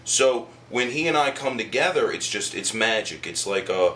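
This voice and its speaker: A man answering documentary interview questions as a comic book artist, speaking in a halting but emphatic way. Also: fairly low pitch, animated, pushed and bright. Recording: faint background noise.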